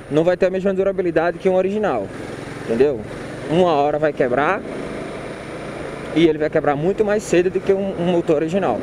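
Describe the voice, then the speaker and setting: A man talking casually and informally while riding a motorcycle in city traffic, repeating himself and using slang. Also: high-pitched. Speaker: motovlogger. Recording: loud road and wind noise. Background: loud traffic and engine noise.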